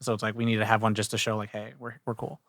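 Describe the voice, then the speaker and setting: A man's voice: on the low side, little pitch movement, racing, a bit bright, no room sound, studio quality. A man co-hosting a podcast, telling joking, self-deprecating stories in casual chat. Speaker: podcast co-host.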